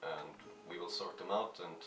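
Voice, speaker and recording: A man with a very low, deep voice talks haltingly and shyly, stopping often on fillers. He sounds some way off the mic in a roomy space, with music playing clearly behind him. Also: fairly even intonation. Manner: shy, halting, many fillers and restarts. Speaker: musician answering fan questions. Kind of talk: hesitant casual talk to camera. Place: at home. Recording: echoey room, mic at a distance, music playing.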